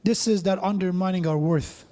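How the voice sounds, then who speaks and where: A man's voice: high-pitched, moderate pace, warm tone. A man preaching a sermon in a mosque, conversational, with joking asides and frequent restarts.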